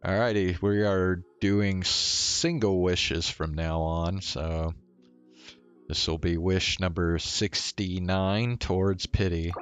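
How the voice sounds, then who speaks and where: Male game streamer, deep voice, unhurried and low-key, casual commentary with game music behind. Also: steady tone, clear, resonant tone. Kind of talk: casual live gameplay commentary.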